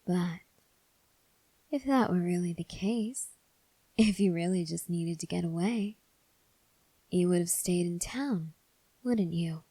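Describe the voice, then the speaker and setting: A woman playing a calm, probing female vampire in an ASMR roleplay, speaking softly and breathily with deliberate pauses. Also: lowish voice, dry recording, heavily acted. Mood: knowing, probing. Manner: soft, breathy, measured delivery with deliberate pauses. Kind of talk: ASMR roleplay addressed to the listener.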